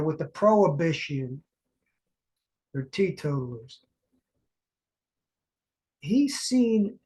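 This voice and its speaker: A man's voice: high voice, darkish tone, poor audio. Male podcast host, stuffy and congested, rambling solo monologue with frequent restarts. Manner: repetitive, restarting, uneven emphasis. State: congested from allergies.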